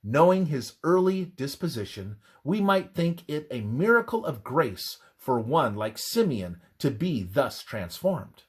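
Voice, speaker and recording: A man reading scripted religious narration in a solemn, measured, emphatic manner. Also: mid-range voice, very animated, breathy edge.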